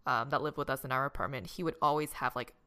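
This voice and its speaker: Bright, animated woman telling stories. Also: quick pace. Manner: animated storyteller, acts out her childhood self in a high voice. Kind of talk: casual chat.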